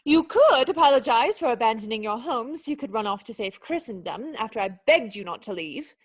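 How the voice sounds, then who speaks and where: An actress playing a proud young noblewoman in a radio play, sharp-tongued, wounded and indignant, with cutting, articulate speech. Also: fairly high pitch, expressive, fairly quick, slightly rough. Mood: angry, accusing.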